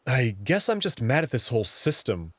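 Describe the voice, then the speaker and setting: A man reading a visual novel's first-person narration aloud, with an earnest, expressive storytelling delivery. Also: mid-range voice, normal speed, dry recording.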